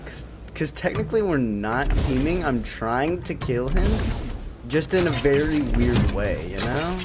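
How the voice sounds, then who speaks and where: A man's voice: medium pitch, big pitch swings, measured pace. A young man doing casual, joking video game commentary as a streamer, informal and prone to repeating himself. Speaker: gaming streamer. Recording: loud music and game sounds behind. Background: loud music, vehicle game noise.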